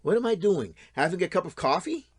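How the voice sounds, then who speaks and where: A man's voice: high-pitched, very animated, brisk, slightly rough, full character voice. A man playing a taunting, wheedling estranged husband and father in an audio drama. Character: needling, mocking estranged husband and father, hard-drinking. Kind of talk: naturalistic audio drama acting.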